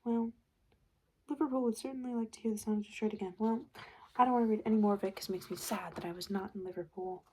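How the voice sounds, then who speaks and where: A woman speaking softly and breathily in an ASMR roleplay, often slipping into a whisper, chatty and a little hesitant. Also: mid-range voice, steady tone, fast talker, breathy edge. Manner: hesitant, chatty, murmured reading aloud. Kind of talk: soft-spoken ASMR roleplay. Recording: noticeable background noise. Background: paper and tapping sounds.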